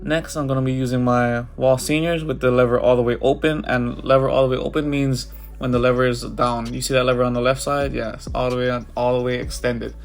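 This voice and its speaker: A man's voice: mid-range voice, flat delivery, fairly quick, soft background music. A barber with a congested, stuffy voice, talking casually over his haircut tutorial. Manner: casual, loose, filler-heavy walkthrough. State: congested from a cold.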